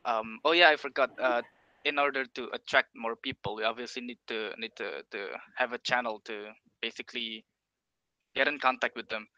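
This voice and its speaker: A young man, a student, giving an informal presentation of a startup pitch and often repeating words as he searches for phrasing. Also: steady tone, moderate pace, sharp tone, no room sound, dull audio. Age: young adult.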